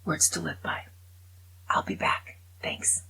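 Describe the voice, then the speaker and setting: A woman's voice: slow pace, bright, forceful tone, a little hiss or hum, low-quality recording. A woman in her seventies chatting casually to camera, rambling and poking fun at herself. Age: 70s. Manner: chatty, rambling, self-mocking humor. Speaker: vlogger.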